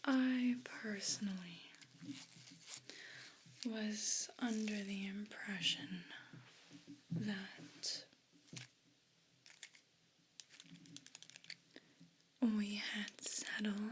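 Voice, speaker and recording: A woman's voice: mid-range voice, steady tone, drawn-out words, long pauses, slight background noise. A woman voicing a haughty, aggrieved evil pet cat in a whispered ASMR role play, speaking in pompous, formal, disdainful phrases. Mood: haughty, disappointed.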